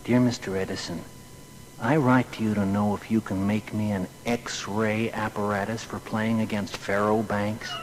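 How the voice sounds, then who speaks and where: A man's voice: lowish voice, lightly acted, poor audio. An actor playing a scheming gambler who reads out his earnest, formal letter in an audio biography drama. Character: scheming male gambler writing an earnest, polite letter to an inventor.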